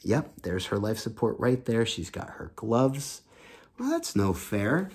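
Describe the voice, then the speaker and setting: A man's voice: fairly low pitch, big pitch swings, measured pace, a little mellow. A man in his thirties reading a children's book aloud and explaining it simply and expressively as a teacher. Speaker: children's storytime teacher and host. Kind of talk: storybook read-aloud with explanations for kids. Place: small indoor home room.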